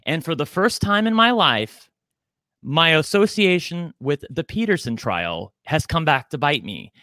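A man's voice: fairly high pitch, very animated, a little slow, bright, forceful tone, no room sound. A man in his forties co-hosting a podcast, talking in a chatty, campy, self-deprecating way. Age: 40s. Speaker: podcast co-host. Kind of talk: casual chat.

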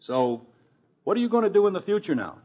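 A middle-aged suburban father in an old radio comedy, genial but slippery. His husky voice swoops about as he hesitates and justifies himself. The vintage recording is dull. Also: high-pitched, very animated, fast. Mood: indignant. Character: genial, evasive suburban father dodging housework. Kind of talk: 1950s radio situation comedy acting.

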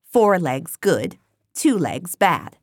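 A woman voicing a clever male pig, a pedantic revolutionary leader lecturing deliberately in an audiobook. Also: on the low side, big pitch swings, no echo, studio quality, full character voice. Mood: didactic, assured. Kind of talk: audiobook character voice.

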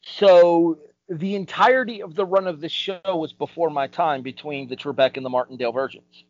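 A man's voice: on the high side, normal speed, no room sound. A man in his thirties co-hosting a casual podcast panel, arguing his points in an emphatic, opinionated way. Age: 30s. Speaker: podcast co-host. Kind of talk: casual panel debate about game shows. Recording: dull, dry lo-fi recording.

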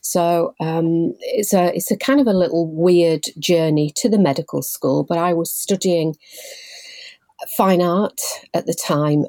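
A woman in her forties from Leeds, a guest telling her story in a measured, thoughtful way with frequent pauses. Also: sits low, mellow, no echo. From Leeds, England. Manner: measured and thoughtful, pausing often. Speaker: guest, patient involvement manager. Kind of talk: reflective personal storytelling.

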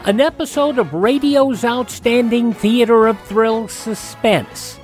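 A man's voice: very high-pitched, slow, faint music behind. A man hosting a radio program, reading a scripted introduction. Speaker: radio show host.

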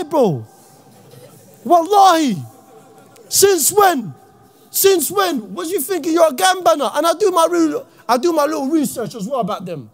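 A man from Iraq, giving an impassioned, slang-heavy talk to young people as an Islamic speaker and often shouting. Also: extremely high pitch. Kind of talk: impassioned motivational talk to youth.

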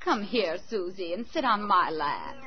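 A muffled vintage radio broadcast with voices behind carries a young struggling performer. She is wistful and wary, with a fairly high voice that swoops expressively in forties drama style. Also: sing-song, measured pace, a crowd in the background. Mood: blue, wistful. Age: young adult. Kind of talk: 1940s radio drama acting. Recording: band-limited 1940s radio, voices behind.

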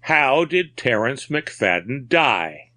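A man's voice: fairly high pitch, very animated, measured pace, fairly bright tone, pitched above the performer's own voice. A man voicing a baffled, insistent man in a story who questions a witness in a pleading, exasperated manner. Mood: coaxing.

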